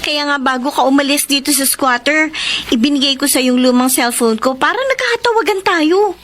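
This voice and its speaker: Caring feisty big sis in filipino radio drama, high sharp voice, emphatic. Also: female voice, fairly quick. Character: protective, spirited older-sister friend of a poor boy.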